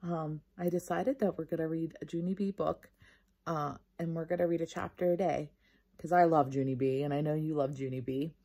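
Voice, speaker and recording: Husky woman reading aloud to children. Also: low-pitched, fairly even intonation, a little fast, mellow. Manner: encouraging, speaks directly to young listeners. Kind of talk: children's storybook read-aloud, casual and expressive.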